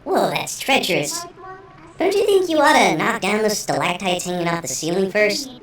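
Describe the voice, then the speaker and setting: Mischievous female imp, a man doing the voice, teasing, sing-song hints, swooping pitch, distant, echoey. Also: big pitch swings, normal speed, a bit bright.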